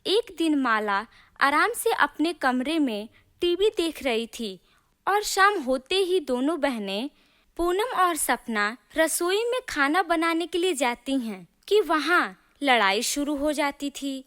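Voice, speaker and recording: A woman's voice: sits high, sing-song, takes it slowly, a bit bright. A woman narrating scripted stories as a storyteller. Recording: clear music bed under narration. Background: music.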